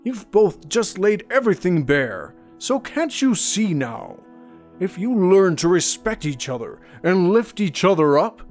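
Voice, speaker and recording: A man's voice: sits high, a little mellow, full character voice. A man playing a weary, fatherly anime superhero mentor, speaking in an earnest, heartfelt manner. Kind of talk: dramatic anime dub acting.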